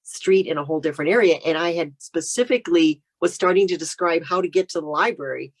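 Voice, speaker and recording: A woman's voice: lowish voice, quick pace. An American woman speaking as a guest author, chatting casually and telling anecdotes in an interview.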